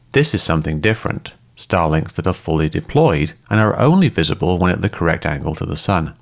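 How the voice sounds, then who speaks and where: Brief: low-voiced male narrator, methodical and calm, lively intonation despite the deep pitch. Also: gravelly. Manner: calm, methodical, step-by-step explaining. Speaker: host and debunker. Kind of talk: scripted explanatory narration.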